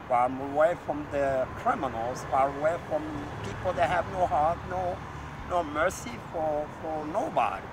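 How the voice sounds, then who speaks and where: A middle-aged man from Morocco with a Moroccan accent, talking in an agitated, rambling, repetitive way to a street camera. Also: a little high. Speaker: street interviewee. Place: city street outdoors. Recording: outdoor street with loud traffic noise.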